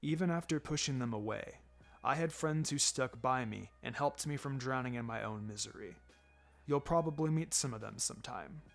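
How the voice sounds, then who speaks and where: A man acts out a laid-back ninja mentor from an audiobook story. His voice sits low and soft, measured and wry, with a gently sombre, consoling edge. Music plays clearly behind him. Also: lightly acted. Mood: gentle advice. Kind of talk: fan fiction audiobook character acting.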